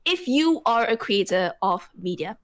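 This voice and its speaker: A woman's voice: on the high side, expressive, measured pace. A woman co-hosting a casual podcast, talking hesitantly with many fillers and restarts and asking curious follow-up questions. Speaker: podcast co-host. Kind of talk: casual chat.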